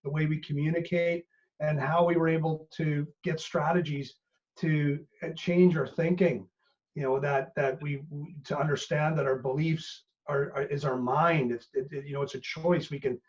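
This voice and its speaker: A middle-aged man with a Canadian accent tells stories in a relaxed podcast conversation. His voice sits a little high for a man, and he acts out quotes as he goes. The audio is muffled and dull. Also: moderate pace. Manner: animated storyteller, acts out quotes. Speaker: business coach guest. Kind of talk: casual podcast chat.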